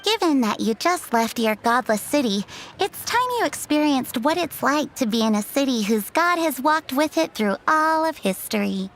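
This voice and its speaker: A woman's voice: high voice, expressive, moderate pace, slight background noise, rough recording. A woman playing a proud, devout townswoman in a fantasy video game, with a lecturing manner. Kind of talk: video game character dialogue.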